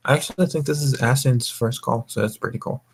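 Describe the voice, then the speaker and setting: A man's voice: mid-range voice, fairly even intonation, brisk, slightly rough. A young man from the American Mountain Time zone hosting a group voice call, tipsy, rambling and swearing, stumbling over his words. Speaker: voice call host. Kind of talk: casual group voice chat.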